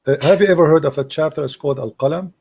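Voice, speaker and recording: A husky, rather high-voiced man speaks as a debate host. His tone is dark and gravelly, and he teaches in a didactic way, posing leading questions as he reads from texts. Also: rapid-fire. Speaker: host debating religion with a caller. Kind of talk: live argumentative teaching, reading and commenting on texts.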